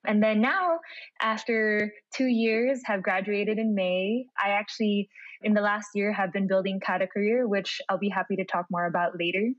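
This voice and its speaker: A woman's voice: mid-range voice, steady tone, normal speed, no echo, muffled recording. Upbeat young woman, dry sound. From Philippines. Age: young adult. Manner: upbeat, chatty, frequent "like". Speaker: guest. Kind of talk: casual interview chat.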